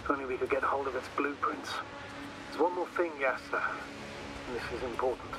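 An actor playing a measured, concerned astrogator guiding a crewmate over a radio link in a video game. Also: male voice, mid-range voice, noisy background. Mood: serious, urgent. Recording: distant radio sound, music behind.

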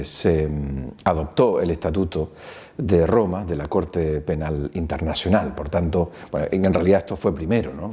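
A man in his fifties from Chile with a Chilean accent, giving a recorded lecture to camera on a lapel mic, measured and full of "eh" pauses. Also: deep voice, warm tone. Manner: measured, many "eh" pauses, gesturing hands. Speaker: foundation director, lecturer. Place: small bright office with blinds, indoors.

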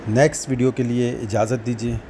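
Mellow-voiced Pakistani man pitching stock. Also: level tone, brisk, slight background noise. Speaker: wholesale market seller and channel host. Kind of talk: sales pitch narration over product demonstration.

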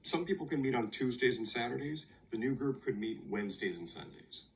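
A man's voice: mid-range voice, animated, brisk, close to an everyday voice, low-quality recording. An actor playing a chatty, encouraging man who organizes a conversation club, in a scripted listening-test dialogue.

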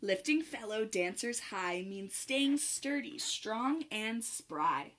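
A young adult woman reading a rhyming picture book aloud to children in an expressive, sing-song manner with clear phrasing. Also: a little high, slow. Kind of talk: children's read-aloud, rhymed storytelling.

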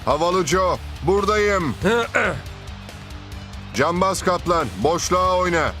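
Urgent high-voiced cartoon footballer. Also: male voice, slow pace, bright, forceful tone, exaggerated voice. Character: urgent, commanding male football player directing teammates. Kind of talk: animated cartoon dub acting. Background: music.

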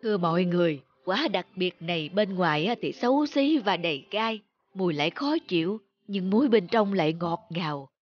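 A woman with a Southern Vietnamese accent voicing a gentle, solemn old village man in a cartoon folk tale. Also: lowish voice, expressive, normal speed, dull audio, voice pushed down. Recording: music behind the voice.

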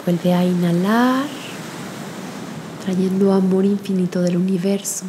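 A woman leading a guided spiritual meditation in a gentle, soothing manner with long pauses. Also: medium pitch, steady tone, background noise.

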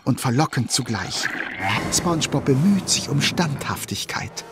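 A man narrating a children's audio drama as a storyteller, scripted, dramatic and expressive. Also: mid-range voice, a little slow, noisy background.

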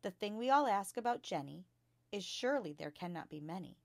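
A woman reading a storybook as a bright, earnest five-year-old schoolgirl, polite, precise and modest. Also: medium pitch, lively intonation, a little fast, creaky, no room sound. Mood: shy reciting.

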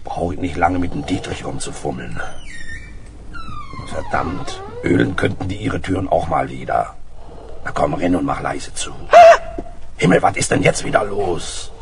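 Gruff, very deep Berlin crook. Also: male voice, animated, a little slow, exaggerated voice. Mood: irritated. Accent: Berlin German. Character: gruff, bossy male petty crook, impatient and scornful. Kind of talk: children's radio play acting. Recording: noisy old vinyl audio play.

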